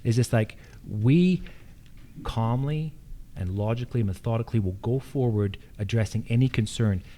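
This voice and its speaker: A man's voice: mid-range voice, moderate pace, warm tone. An American man giving unscripted answers as a candidate at a public forum. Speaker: political candidate, incumbent county official.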